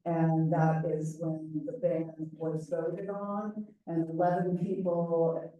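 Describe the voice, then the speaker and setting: An older woman with a loud voice giving a chatty, informal briefing to a meeting, wandering into self-deprecating asides. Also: on the low side, flat delivery, takes it slowly, lo-fi sound. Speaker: volunteer presenter on ballot issues. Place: large meeting room. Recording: very echoey room, voice from far off.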